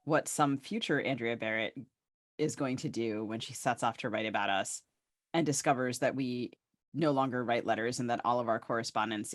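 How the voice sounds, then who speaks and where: A woman's voice: a bass voice, no echo. Woman podcast host, close dry sound. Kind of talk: conversational literary interview questions.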